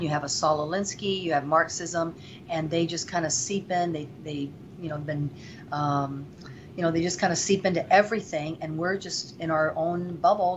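A woman from Venezuela speaking as an interview guest, earnest and rambling with many fillers. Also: lowish voice. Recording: dull lo-fi with faint noise.

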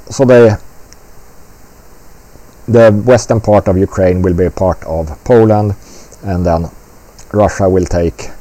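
Slow, low, mellow-voiced man. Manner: blunt, rambling, swears freely. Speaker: commentator. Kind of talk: casual political commentary.

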